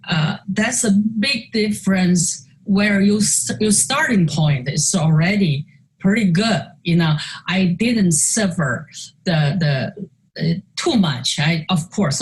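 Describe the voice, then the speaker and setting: An older woman whose first language is Chinese, speaking English slowly and haltingly with many fillers and restarts as she presents her artwork in a video call talk. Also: on the low side, poor audio. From China. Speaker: artist. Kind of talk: virtual artist talk presenting slides.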